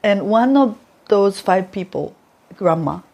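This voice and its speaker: A woman's voice: mid-range voice, expressive, slow, darkish tone. A young Japanese woman with a Japanese accent, telling stories in a casual interview and laughing often. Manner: chatty, laughs often, playful storytelling. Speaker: former reporter, interview guest.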